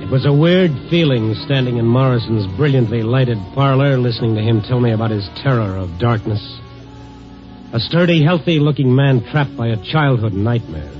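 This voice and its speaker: A man playing a calm, sympathetic reporter who questions and narrates quietly in an old radio drama. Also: medium pitch, moderate pace, music in the background, lightly acted. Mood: reflective. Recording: muffled old radio broadcast.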